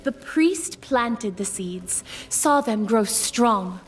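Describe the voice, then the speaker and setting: A solemn female storyteller narrates a game legend with reverence. Her voice is fairly high and her pacing slow and deliberate. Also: animated, a little hiss or hum. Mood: solemn, reverent. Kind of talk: scripted video game narration.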